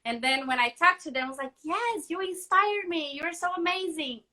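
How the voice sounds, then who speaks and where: A woman journalist hosting a conversational interview, enthusiastic and warm. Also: very high-pitched, expressive, moderate pace. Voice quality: warm, enthusiastic, restarts often. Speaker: host, journalist.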